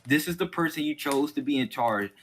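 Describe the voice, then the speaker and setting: A man with a bright, fairly high voice hosts a casual fan discussion. His pitch swings widely as he argues in an exasperated way and acts out mocking quotes. There is faint noise on the recording. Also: expressive, a little fast. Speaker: host of a fan discussion series. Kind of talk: casual opinionated chat about a TV series.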